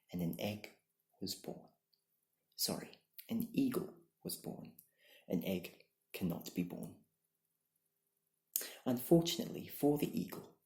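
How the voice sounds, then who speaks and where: Slow, hushed ASMR storyteller, young adult. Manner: hushed, deliberate, gentle. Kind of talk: soft-spoken ASMR bedtime story reading with whispering. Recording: low-quality, echoey room recording.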